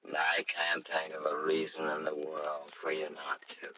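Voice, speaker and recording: An actor playing a grieving, tender husband and father in 1940s radio drama style, speaking haltingly and earnestly. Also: a bass voice, completely flat delivery, sharp tone, rough recording. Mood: defeated, remorseful.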